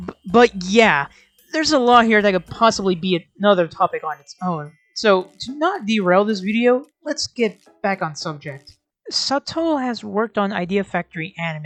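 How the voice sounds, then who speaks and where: A woman's voice: medium pitch, no room sound. A woman hosting a video essay, giving casual scripted commentary with wry, sarcastic asides and informal, sweary phrasing.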